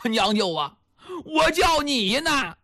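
A man voicing a big, rough captured vagabond who pleads and whines in a storyteller's tale. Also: high voice, big pitch swings, measured pace, pushed and bright, no room sound, clean studio sound, heavily acted. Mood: pleading. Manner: pleading, whining, stammering.

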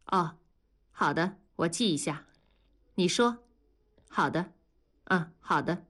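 A woman's voice: expressive, slow, exaggerated voice. Woman reading a restrained, sad female official. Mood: businesslike. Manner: quiet, low, restrained. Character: composed, sorrowful middle-aged woman official.

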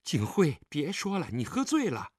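Casting: anxious, pleading wife , played by a male narrator, trembling voice, raised pitch, dry close sound. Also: wildly swooping pitch, normal speed, darkish tone, slightly rough, no room sound, heavily acted.

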